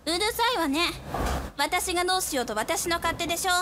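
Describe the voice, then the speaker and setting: An actress playing a snide, prickly high-school girl in a radio drama, scornful and sharp-tongued. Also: a little fast, noisy background. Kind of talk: Japanese anime-style radio drama acting.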